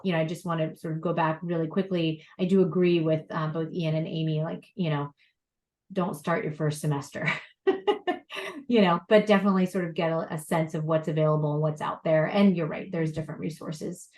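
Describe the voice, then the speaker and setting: A woman's voice: low voice, brisk, a little mellow. A woman professor speaking on a panel, talking in a loose, rambling way with many fillers and restarts. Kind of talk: casual panel discussion. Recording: roomy echo.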